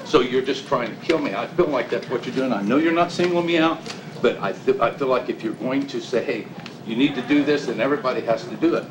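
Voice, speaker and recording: A man's voice: medium pitch, fast. Man arguing at a city hearing. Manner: emphatic, repetitive complaining. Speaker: business owner speaking at public hearing. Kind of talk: public comment at city meeting. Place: small council chamber, standing before audience. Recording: echoey room with background noise.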